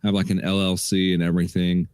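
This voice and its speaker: A young man co-hosting a casual podcast, relaxed and rambling, often restarting his sentences. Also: low-pitched, quick pace, clear, resonant tone, dry recording, studio quality. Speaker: podcast co-host. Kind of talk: casual chat.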